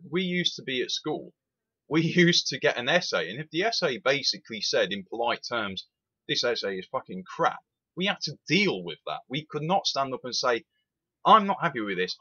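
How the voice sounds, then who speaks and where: A man's voice: mid-range voice, breathy edge, dull audio. A man, giving an opinionated, emphatic rant as a rail sim reviewer. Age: 20s. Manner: animated, emphatic, repeats itself.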